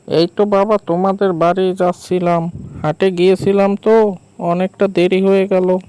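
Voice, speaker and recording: A man's voice: high voice, level tone, normal speed, dark tone, smooth, lightly acted, rough recording. A man voicing a jinn disguised as an elderly village father-in-law, speaking in friendly, fatherly small talk in a cartoon story. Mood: friendly, explaining.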